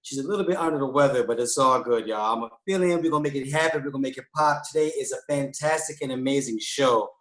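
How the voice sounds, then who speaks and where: A man's voice: mid-range voice, quick pace, hardly any pauses, fairly bright tone, roomy sound. A man guest-hosting a live online talk show, upbeat and loose, with many fillers. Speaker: guest host.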